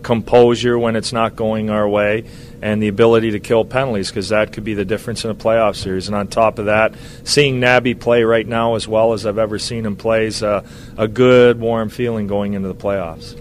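A man in his fifties, a hockey head coach, talking casually on a radio show, with lots of pauses, "uh"s and restarts. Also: fairly low pitch, level tone, a little hiss or hum. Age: 50s. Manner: rambling, many uhs and restarts.

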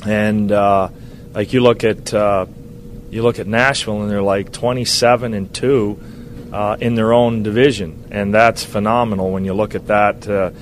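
A fifty-something man with a lowish voice, talking loosely and rambling, full of "uh"s and false starts. He sounds a little distant from the mic, with faint noise underneath. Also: fairly even intonation, normal speed. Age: 50s. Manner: rambling, many uhs and restarts. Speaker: hockey head coach. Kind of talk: casual radio interview.

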